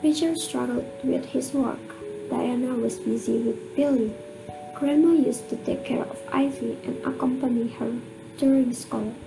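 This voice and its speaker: Radio drama narrator: woman, high creaky voice, scripted storytelling. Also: steady tone, unhurried, poor audio. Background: music.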